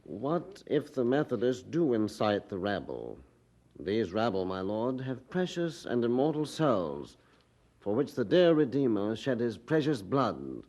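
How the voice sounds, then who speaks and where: Lively, formal gentleman of old. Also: medium pitch, measured pace. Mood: impassioned. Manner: formal, expressive, oratorical. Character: admiring, respectful 18th-century male supporter of a preacher. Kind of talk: audio drama acting, reading aloud.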